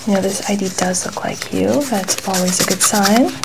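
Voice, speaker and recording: A soft-spoken woman in an ASMR role-play as an airport security agent, polite, procedural and reassuring. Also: mid-range voice, normal speed, vocal fry, heavy background noise.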